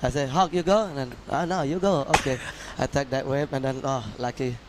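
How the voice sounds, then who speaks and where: Male surfer, bashful and halting, light outdoor ambience. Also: mid-range voice, background noise. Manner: shy, halting English with fillers. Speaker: interviewed surfer.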